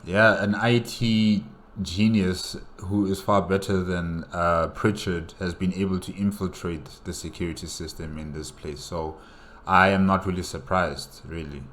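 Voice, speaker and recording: A man giving casual reaction commentary, halting and thinking aloud as he repeats himself. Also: low-pitched, fairly even intonation, a bit bright. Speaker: reaction video host. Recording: noisy home recording.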